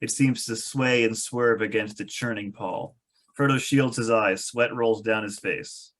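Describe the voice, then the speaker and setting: A man's voice: on the low side, fairly even intonation, unhurried, fairly bright tone. A man reading stage directions as the narrator of a scripted table read.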